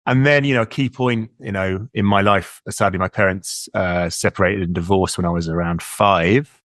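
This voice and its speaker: Deep-voiced English man, reflective. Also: steady tone, moderate pace, no echo, clean studio sound. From England. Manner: warm, reflective, repeats words as he thinks. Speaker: guest, leadership coach. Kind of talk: casual interview talk.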